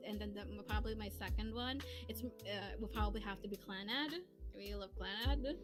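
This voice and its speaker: A woman's voice: mid-range voice, brisk. A woman chatting casually and giggling often as she answers questions on a livestream, as one of the hosts. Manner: giggly, laughs often while talking. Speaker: streamer, co-host answering chat questions. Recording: music playing behind the voice. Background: background music.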